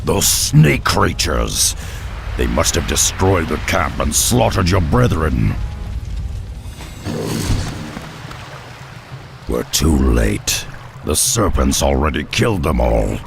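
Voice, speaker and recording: An actor playing a gruff, hulking male half-ogre beast hunter, slow and blunt, with a gravelly voice, in a game cutscene. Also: very deep voice, very animated, over music, heavily acted. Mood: grim. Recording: game cutscene with loud score.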